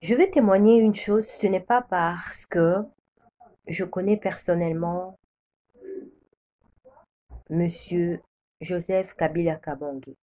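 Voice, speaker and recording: A woman's voice: on the low side, slow pace, long pauses, dark tone. A woman from the Democratic Republic of the Congo giving a prophetic religious testimony with solemn, repeated declarations. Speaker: preacher sharing a prophecy.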